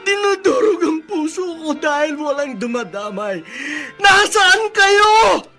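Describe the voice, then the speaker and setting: An actor with a Filipino accent playing a proud, lonely, aging army colonel in a melodramatic radio drama, imperious and anguished. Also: male voice, very high-pitched, lively intonation, slow pace, sharp tone, soft background music, heavily acted. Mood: tearful, desperate. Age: older.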